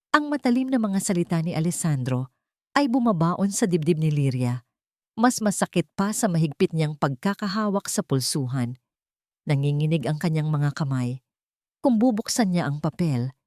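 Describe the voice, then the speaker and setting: A woman's voice: sits low, warm tone, no echo, clean studio sound. A woman narrating a dramatic scripted love story as an audiobook.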